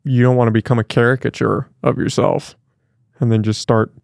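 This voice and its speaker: A man's voice: medium pitch, steady tone, brisk, gravelly, a little mellow, no echo, clean studio sound. A man hosting a podcast, talking in a reflective, encouraging way with casual swearing. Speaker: podcast host. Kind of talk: casual interview conversation.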